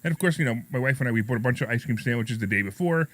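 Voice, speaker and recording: A man's voice: medium pitch, animated, rapid-fire, a bit bright, dry recording. New York man with a slight lisp. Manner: rambling, repetitive, self-deprecating joking. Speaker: radio talk show host. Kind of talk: casual, jokey talk radio chat and anecdotes.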